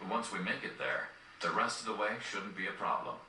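An actor playing a calm, serious young rebel leader in a fantasy video game, speaking in a measured, stoic way. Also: male voice, sits low, noisy background. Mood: grave, steady. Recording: roomy, distant capture off a TV, music behind.

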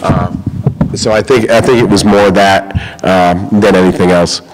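Rambling middle-aged coach, low voice. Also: male voice, fairly even intonation, a little slow, noisy background. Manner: rambling, many fillers and restarts. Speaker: head basketball coach. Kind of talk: postgame press conference answers. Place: press conference room. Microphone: table microphone.

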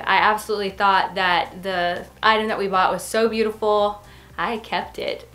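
A middle-aged woman giving a casual, gushing, chatty review to camera in a bedroom. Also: medium pitch. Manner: gushing, expressive. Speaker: home decor vlogger. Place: furnished bedroom indoors.

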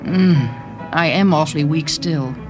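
Doting young mother, chatty, confiding, low female voice, radio story, music behind. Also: a little slow. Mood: confiding, weary. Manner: gushing, confiding, expressive. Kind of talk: radio drama monologue.

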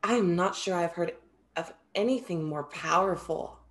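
A woman's voice: on the low side, normal speed, close to an everyday voice. A young woman playing a proud, playful Greek muse with theatrical, formal wording in a play reading. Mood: assertive. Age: young adult. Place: indoor room, video call.

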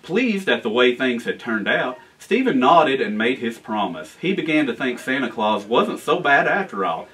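An American man in his forties reading a children's storybook aloud in an animated, expressive way. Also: mid-range voice, moderate pace, few pauses, far from the mic. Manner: animated, expressive reading with asides to young listeners. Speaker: guest storyteller, town official. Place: library room with bookshelves, indoors.

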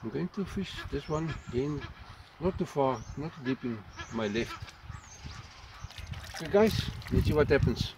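A man's voice: a little high, moderate pace. A middle-aged South African man casually explaining his fishing setup step by step, outdoors by a dam. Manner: relaxed, explains step by step with fillers. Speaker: fishing vlogger. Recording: dull lo-fi with loud outdoor noise.

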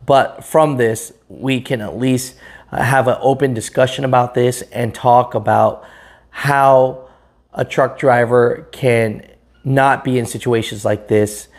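Slow-talking earnest man vlogging to camera. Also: mid-range voice, fairly even intonation. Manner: slow, earnest, talks straight to camera with hand gestures. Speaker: trucking channel host. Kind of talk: casual vlog commentary. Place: indoors in front of a green screen.

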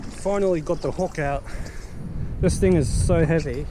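A young man from Australia fishing from a rocky shoreline, giving excited, self-talking commentary into a head-mounted action camera. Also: on the high side, moderate pace. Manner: excited, exclaiming, talks to himself. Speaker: fishing vlogger. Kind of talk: casual running commentary while fishing. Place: outdoors on a rocky shoreline by a canal. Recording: loud wind and water noise.

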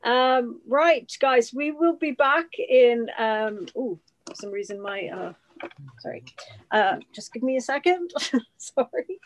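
A woman's voice: a little high, animated, takes it slowly, rough recording. A middle-aged woman hosting an informal online event in a chatty, effusive way from a room at home. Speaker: host.

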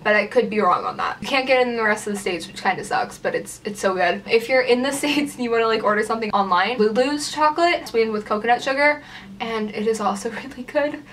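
A woman's voice: a little high, fast, a bit bright, far from the mic, slight background noise. A young woman vlogging casually at home, rambling with lots of "like" fillers while gesturing. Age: young adult. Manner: chatty, lots of "like" fillers, gestures with her hand. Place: small room at home, indoors by a door.

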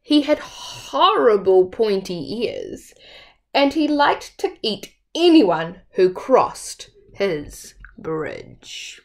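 A woman's voice: medium pitch, very animated, extremely slow. A woman in her thirties reading a children's story aloud expressively, as a storyteller at home. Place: small room at home.

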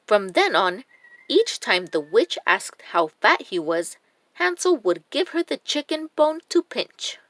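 A woman reads a children's story aloud, taking her time over each phrase. Her pitch moves in a lively way and the sound is close and dry. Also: no echo. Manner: deliberate, expressive. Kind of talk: expressive scripted storybook narration.

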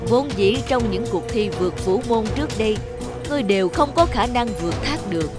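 A woman's voice: fairly high pitch, lively intonation, fairly quick, lightly acted. Woman as dignified old male immortal, cartoon fairy tale, formal, commanding, music and rain in the background. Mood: stern, questioning. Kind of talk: animated fairy tale voice acting.

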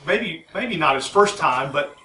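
A man in his forties presenting at a home meeting, energetic and emphatic as he introduces the next speaker. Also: fairly high pitch, a little slow, a little hiss or hum. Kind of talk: enthusiastic presentation. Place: living room at a home meeting. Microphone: far camera. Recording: echoey room from far off.

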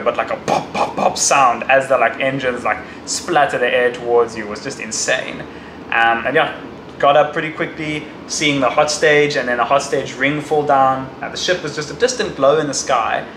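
A man's voice: medium pitch, normal speed, echoey room. Man casually recapping a trip. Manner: excited shouting and cheering during launch; casual retelling with fillers. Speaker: vlogger. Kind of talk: casual travel vlog. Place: outdoors on a crowded beach. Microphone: handheld camera. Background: crowd cheering and outdoor noise.

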